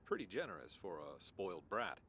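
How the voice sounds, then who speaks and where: Very deep-voiced, skeptical police detective. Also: male voice, sing-song, a bit bright, slight background noise, exaggerated voice. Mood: probing. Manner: sardonic, pressing, deliberate. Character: stern, skeptical male police detective interrogating a suspect. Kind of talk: audio drama acting.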